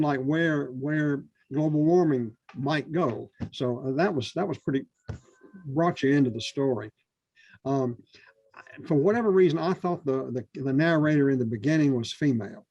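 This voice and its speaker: A man giving spoken feedback on a manuscript to a writers' panel, halting and full of fillers and restarts. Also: mid-range voice, mellow, no echo, muffled recording. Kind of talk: casual spoken critique.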